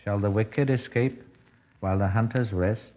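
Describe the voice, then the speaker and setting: An actor playing a pompous, deliberate country doctor with formal, hesitant phrasing in a 1950s radio drama. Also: male voice, lowish voice, very noisy, close to an everyday voice. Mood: grave, portentous. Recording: dull old 1950s radio recording.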